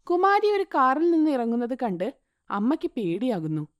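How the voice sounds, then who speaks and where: A woman narrating a scripted moral story in an expressive, sing-song way. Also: sits high, normal speed, dry recording, studio quality.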